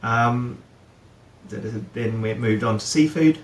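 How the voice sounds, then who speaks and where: A man's voice: medium pitch, slow, reverberant, a little hiss or hum. A middle-aged man from New Zealand, a guest talking casually about his career, with many fillers and restarts. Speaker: guest, head of procurement. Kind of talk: casual interview chat.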